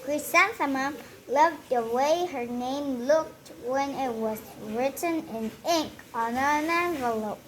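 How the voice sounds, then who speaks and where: High-voiced woman reading a story slowly. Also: slight background noise. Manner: deliberate, pausing between short phrases. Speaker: storyteller reading a picture book aloud. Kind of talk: read-aloud children's story.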